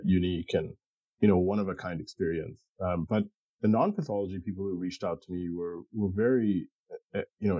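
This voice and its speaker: Middle-aged man, husky low voice, halting reflective interview talk, dull recording. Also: mellow, dry recording. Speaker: pathologist, interview guest. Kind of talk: casual, reflective interview conversation.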